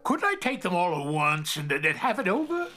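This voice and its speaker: An actor playing a frightened, querulous old miser in a theatrical radio drama, stammering and halting with a quaver. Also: male voice, sits high, very animated, sharp tone, a little hiss or hum, exaggerated voice. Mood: reluctant.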